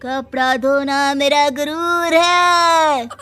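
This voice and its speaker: A woman's voice: high-pitched, steady tone, drawn-out words, bright, forceful tone, faint music behind, pitched above the performer's own voice, exaggerated voice. A woman voicing a cheeky, indignant and dramatic young bride in a moral-story cartoon, with theatrical delivery. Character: eager, dramatic young bride obsessed with washing clothes.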